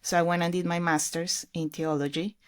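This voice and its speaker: A woman's voice: lowish voice, flat delivery, a little fast. Earnest woman telling her faith story. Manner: earnest, reflective storytelling with fillers. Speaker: podcast guest, ministry leader. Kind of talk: conversational interview.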